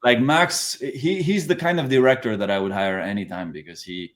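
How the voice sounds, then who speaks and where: A man's voice: mid-range voice, moderate pace. A young adult man, an actor, chatting in an interview in an easygoing, hesitant way, with many fillers. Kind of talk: casual interview chat.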